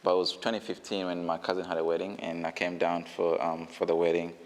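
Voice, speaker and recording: Man, very deep voice, halting, filler-heavy, reverberant recording. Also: monotone. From Uganda. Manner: hesitant, many fillers and restarts. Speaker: brand founder. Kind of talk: casual interview answers.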